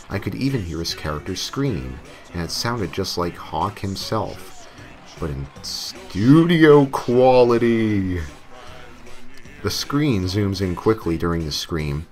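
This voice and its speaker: A man narrating a scripted comic horror story in a theatrical way, with emphatic delivery. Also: low-pitched. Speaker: creepypasta narrator. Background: music.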